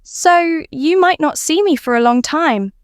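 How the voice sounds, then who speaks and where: A woman's voice: high voice, a little slow, no echo, clean studio sound, voice pushed up. A woman voicing a blunt, overworked space-faring heroine in a story reading.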